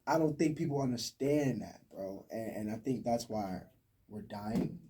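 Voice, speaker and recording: A man's voice: mid-range voice, expressive, moderate pace, gravelly, mellow, slightly rough. An American man in his twenties talking casually and emphatically in slang on a podcast, picked up slightly from a distance. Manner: talkative, emphatic, slang-heavy.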